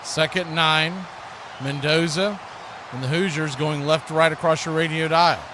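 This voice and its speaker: A man's voice: on the high side, fairly even intonation, normal speed. A man doing live play-by-play radio commentary of an American football game, describing the action in short phrases. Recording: clearly noisy live stream recording.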